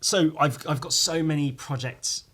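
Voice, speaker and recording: A man with a croaky voice rambling casually on his own as a podcast host, informal and sweary, poking fun at himself and tacking questions onto his remarks. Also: a little high, normal speed, a bit bright. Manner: sweary, informal, self-deprecating, with tag questions. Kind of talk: casual rambling solo chat.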